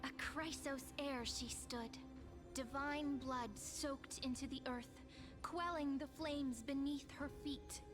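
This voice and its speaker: A woman voicing a gentle, playful young companion who narrates a legend and teases her friend, in soft scripted game dialogue over loud music. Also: sits high, steady tone, slow pace. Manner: soft, teasing, storytelling.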